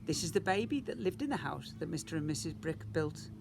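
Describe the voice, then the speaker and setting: A man tells a picture-book story in the manner of an infant teacher. His pitch swoops widely and key words are stressed. There is faint noise in the background. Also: expressive, fairly quick, vocal fry. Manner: emphatic, with rhetorical questions and asides. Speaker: teacher reading to young children.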